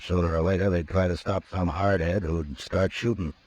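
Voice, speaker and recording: An actor playing a stiff, formal middle-aged army major in a 1950s radio western, speaking in a clipped way. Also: male voice, deep voice, fairly even intonation, normal speed, a little mellow, dry recording. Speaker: army officer. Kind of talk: 1950s radio drama acting. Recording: dull old radio broadcast recording.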